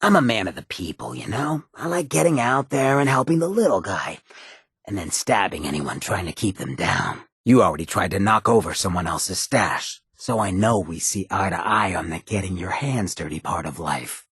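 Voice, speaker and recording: A man voicing a swaggering, roguish mayor in a video game, a wry hedonist outlaw with a raspy, gravelly, drawling and sardonic delivery. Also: low voice, big pitch swings, moderate pace, a bit bright, no room sound, clean studio sound, exaggerated voice. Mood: wry, teasing. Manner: drawling, sardonic, streetwise slang. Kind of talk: video game character dialogue.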